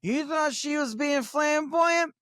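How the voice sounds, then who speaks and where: A man's voice: very high-pitched, slow, fairly bright tone, dry recording, studio quality, voice pushed up, heavily acted. A man voicing the brash, boozy ghost of an older mother, sarcastic, sweary and nagging in a comedy sketch. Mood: brash, indignant.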